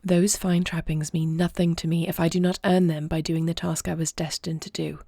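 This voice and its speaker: Woman as regal warrior princess, husky, dark-toned, formal, solemn, earnest, close dry audio roleplay. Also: low-pitched, flat delivery, dry recording. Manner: formal, solemn, deliberate phrasing. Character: young adopted princess and war leader, earnest, measured, regal. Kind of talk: scripted audio roleplay acting.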